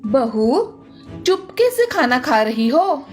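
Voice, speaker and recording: Domineering middle-aged mother-in-law for cartoon fable, played by a woman: high, very animated, taunting, faint music underneath. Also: takes it slowly, heavily acted. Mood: scornful, taunting. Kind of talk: animated moral-story cartoon dialogue.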